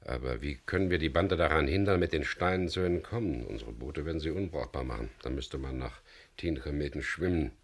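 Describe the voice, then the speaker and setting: An actor playing a resolute, daring hero who also narrates his story in a gravelly voice in a radio crime drama. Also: extremely low pitch, fairly quick. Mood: determined.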